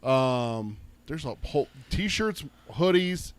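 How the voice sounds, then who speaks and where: Male podcast co-host, mid pitch, brisk and plain, a bit under the weather, dry close mic. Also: expressive, smooth, no echo. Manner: brisk, plugs links and socials. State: recovering from a cold, coughing. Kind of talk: casual podcast chat.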